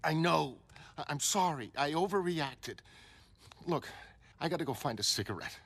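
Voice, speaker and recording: A man's voice: on the high side, animated, moderate pace, fairly bright tone, slightly rough, heavily acted. A man voicing an exasperated, emotional woman in a motion comic, with an agitated, pleading and blunt manner. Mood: uneasy, apologetic. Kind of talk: motion comic dramatic reading.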